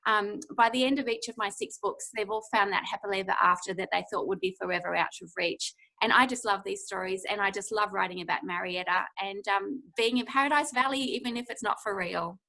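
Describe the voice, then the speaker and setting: Bright, slightly croaky Australian woman. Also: mid-range voice, brisk, few pauses, poor audio. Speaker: romance author, panel guest. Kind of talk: prepared, earnest book overview. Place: at home on a video call.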